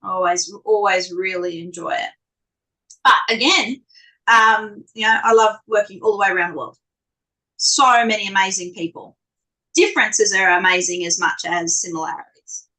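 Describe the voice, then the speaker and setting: A woman with a strong Australian accent speaking on a live online panel, telling animated, self-deprecating stories. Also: medium pitch, moderate pace, low-quality recording.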